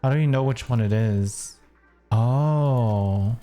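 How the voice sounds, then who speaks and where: Slow, slangy gaming streamer. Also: medium pitch, mellow, soft background music. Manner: slangy, sweary, blunt. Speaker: streamer reacting to a game. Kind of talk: casual gaming reaction chat.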